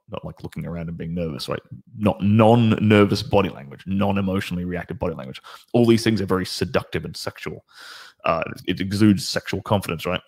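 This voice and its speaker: A deep, low-voiced man with a husky, slightly rough edge talks with sure confidence as a guest dating coach. He rambles in casual chat and restarts sentences, but keeps a self-assured drive. His tone stays low and grainy throughout.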